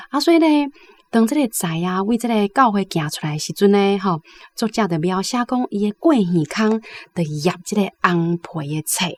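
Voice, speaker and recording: Female radio commentator explaining a story, Taiwanese accent, mid-range voice, chatty phrasing with frequent tag particles. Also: medium pitch. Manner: conversational, frequent sentence-ending particles. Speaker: literary commentator.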